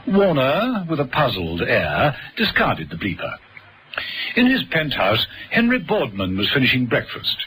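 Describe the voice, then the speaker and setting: A man's voice: mid-range voice, wildly swooping pitch, a little slow, a bit bright, a little hiss or hum. A man reading scripted dramatic narration for a thriller story.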